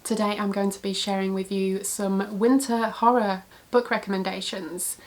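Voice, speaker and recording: A woman with a stuffy, husky voice from a cold, chatting casually about books she recommends, with frequent filler words. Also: mid-range voice, level tone, moderate pace. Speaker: book reviewer.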